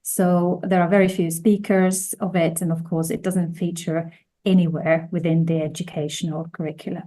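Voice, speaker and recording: A woman, by birth, gives a measured conference presentation over a video call. Her voice sits on the low side and sounds mellow and dark. She often restarts her phrases as she goes. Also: level tone. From Finland. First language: Finnish. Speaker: conference speaker.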